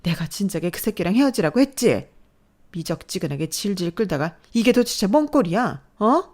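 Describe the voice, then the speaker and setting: A woman doing a shrill furious mother-in-law. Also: very animated, fairly quick. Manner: shouting, scolding, harsh. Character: furious domineering middle-aged mother-in-law.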